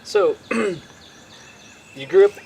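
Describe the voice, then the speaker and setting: A man with a slightly higher voice hosts an outdoor interview. He halts and repeats himself as he works toward his questions. Faint birds and nature sit in the background. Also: lively intonation, darkish tone, a little hiss or hum. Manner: halting, many fillers and repeated words. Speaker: interviewer, host.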